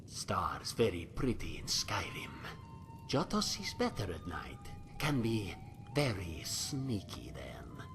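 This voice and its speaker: A man's voice: deep voice, huge pitch swings, slow pace, creaky, music in the background, full character voice. A man voicing a simple, wistful cat-man hunter and former sailor in a video game, talking in broken English about himself in the third person. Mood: proud, musing. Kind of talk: video game character dialogue.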